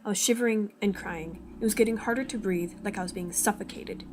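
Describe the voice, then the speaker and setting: A woman's voice: mid-range voice, fairly quick, noisy background, natural voice. A woman playing an anxious, sarcastic teenage boy who narrates his own story in an amateur comic dub. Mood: narrating. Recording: amateur old-device recording, music behind. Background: video game music.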